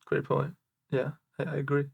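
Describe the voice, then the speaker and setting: A man's voice: on the high side, monotone, moderate pace, vocal fry, slightly rough, narrow, tinny audio. A man hosting a podcast interview in a casual, conversational way. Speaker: podcast host.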